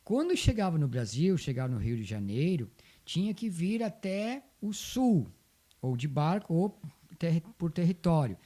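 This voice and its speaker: Mellow, animated southern Brazilian man. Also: fairly high pitch, moderate pace. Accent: southern Brazilian, Rio Grande do Sul. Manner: emphatic, repeats phrases for effect. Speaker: interview guest, folk dance group coordinator. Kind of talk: conversational storytelling.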